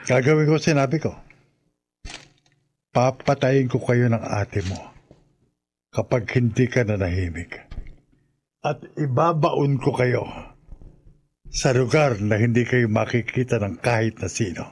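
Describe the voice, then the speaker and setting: An actor playing a menacing, commanding killer husband in a Filipino radio horror drama, with a husky voice. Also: male voice, medium pitch, a little slow, pauses often, darkish tone. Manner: threatening, commanding. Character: menacing killer husband threatening his young brother-in-law.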